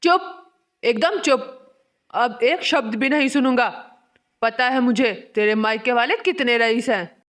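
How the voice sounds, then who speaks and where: A short-tempered young husband from a cartoon family story, played by a woman. The voice is fairly high and slow, with a curt, irritable delivery. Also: fairly bright tone. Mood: angry, exasperated. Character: short-tempered young married man, exasperated office-going son. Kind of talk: Hindi cartoon story voice acting.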